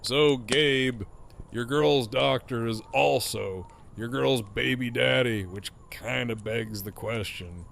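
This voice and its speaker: Man acting a gravelly, mocking troublemaker. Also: medium pitch, slow, fairly bright tone, a little hiss or hum. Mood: taunting, amused. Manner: taunting, sardonic drawl. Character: mocking, foul-mouthed middle-aged male antagonist, gleefully needling. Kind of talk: audio drama acting.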